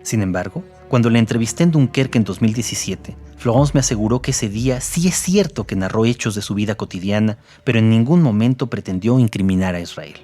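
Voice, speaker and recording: A man's voice: fairly low pitch, expressive, fairly quick, hardly any pauses. A man presenting an investigation in scripted, explanatory narration. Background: soft music.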